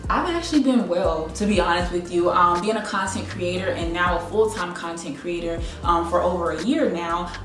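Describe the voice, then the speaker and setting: An American woman in her late twenties from South Carolina, chatting casually to camera and addressing viewers like girlfriends. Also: fairly low pitch. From South Carolina, United States. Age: late 20s. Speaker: YouTube content creator. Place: indoors, living room at home. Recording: echoey room, distant mic, music behind. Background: background music.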